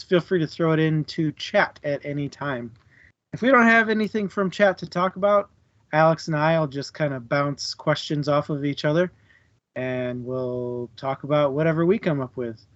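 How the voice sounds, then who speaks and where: A man co-hosting a book club livestream, chatting casually and jokily with his audience in an informal, halting way. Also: fairly high pitch, dry recording, muffled recording. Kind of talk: casual chat to a live audience.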